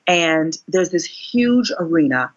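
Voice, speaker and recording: A woman in her forties, a singer being interviewed, telling her story expressively, her voice climbing high whenever she relives a moment. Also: lively intonation, slow pace, lo-fi sound. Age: 40s. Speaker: guest singer.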